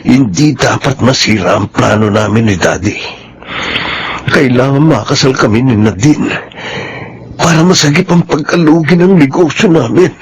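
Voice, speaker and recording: A man's voice: medium pitch, normal speed, slightly rough, poor audio. An actor playing a hot-tempered, arrogant young rich man who schemes as an obsessive suitor in a Filipino radio drama. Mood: bitter, plotting. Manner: menacing, arrogant.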